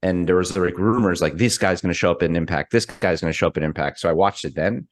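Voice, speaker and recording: A man co-hosts a relaxed wrestling talk show from afar over a video call. His voice is low and raspy, worn rough from a night of drinking, and he talks in loose, hesitant stretches. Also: fast. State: hoarse, hungover. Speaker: co-host. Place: in Texas, remote.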